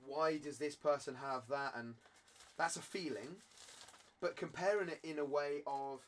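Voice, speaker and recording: Croaky man, slightly high voice. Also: a little slow, fairly bright tone, slight background noise. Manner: earnest, rambling with restarts. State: croaky with a cough. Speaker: card-selling live streamer. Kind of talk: candid motivational talk to camera.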